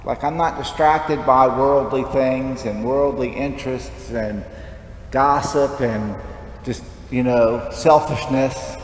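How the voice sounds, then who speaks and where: A man's voice: medium pitch, fairly even intonation, slow pace. Man preaching in an echoey church. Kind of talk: homily, conversational preaching. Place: large church, at the lectern. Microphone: headset mic. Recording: echoey church with long reverb, background noise.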